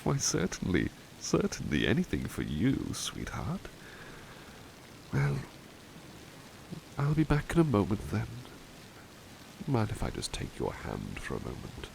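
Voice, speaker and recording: A man playing a devoted, polite butler who paints, chatting softly and hesitantly to the listener in an ASMR roleplay. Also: low voice, wildly swooping pitch, a little slow, creaky, long pauses, slight background noise, heavily acted, rough recording. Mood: tender. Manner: hesitant, courteous, old-fashioned wording, gentle. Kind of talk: ASMR roleplay, soft intimate chat to listener.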